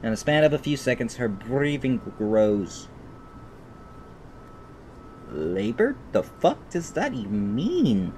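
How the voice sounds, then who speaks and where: A man's voice: very animated, slow, pauses often, darkish tone. A man streaming a visual novel, reading it aloud with profane, exasperated asides and stumbling over words. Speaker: gaming streamer. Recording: rumbly background noise.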